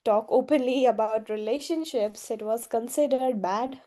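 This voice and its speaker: A woman's voice: fairly high pitch, moderate pace, warm tone. A young woman from Gujarat, India, a native Gujarati speaker and university student, answering interview questions casually with many hesitant fillers. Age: young adult.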